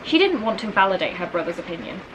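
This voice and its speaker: A woman's voice: mid-range voice, big pitch swings, fast. A woman reading a children's novel aloud as a storybook narrator. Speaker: storybook reader. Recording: noisy, rain in the background. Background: rain.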